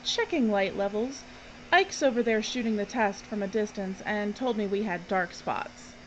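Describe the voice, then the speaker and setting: A woman acting a brisk, sardonic, no-nonsense film director in an audio drama. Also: mid-range voice, natural voice. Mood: cool, guarded. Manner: bossy, wry. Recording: dull, slightly noisy recording.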